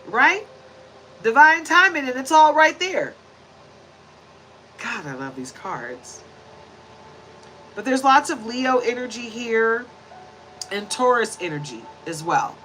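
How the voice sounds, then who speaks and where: A woman's voice: sits high, very animated, a little slow, pauses often, sharp tone, slight background noise, rough recording. A woman in her forties giving a chatty, preachy tarot reading to camera, handling the cards as she talks. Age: 40s. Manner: chatty and preachy, many tag questions; shuffles and lays out cards while talking. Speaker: tarot reader.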